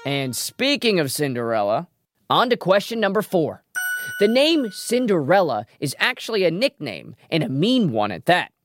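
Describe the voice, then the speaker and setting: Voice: mid-range voice, sing-song, moderate pace, background noise. A trivia show host presenting a scripted quiz in a playful way, with wry asides. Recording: music behind the voice.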